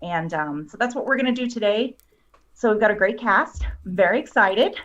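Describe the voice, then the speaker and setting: A woman's voice: medium pitch, expressive, brisk, fairly bright tone, lo-fi sound. A woman guest explaining things in casual chat, as a screenwriter would on an online show.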